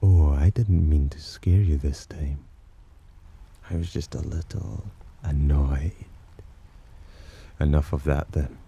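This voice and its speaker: Man voicing a very deep, dark, haughty demon. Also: breathy edge, lo-fi sound, heavily acted. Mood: restrained, coaxing. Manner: slow, deliberate, condescending, menacingly teasing. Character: haughty, teasing male demon, disdainful of humans, possessively protective. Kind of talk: ASMR roleplay, intimate scripted monologue.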